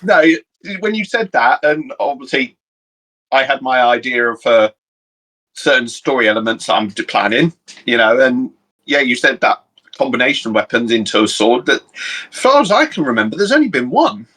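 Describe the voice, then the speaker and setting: Rambling Cornish man, mid-pitched voice. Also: very animated, moderate pace, sharp tone, poor audio. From Cornwall, England. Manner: rambling, hesitant, many fillers. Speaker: co-host. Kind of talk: casual podcast chat. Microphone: video call.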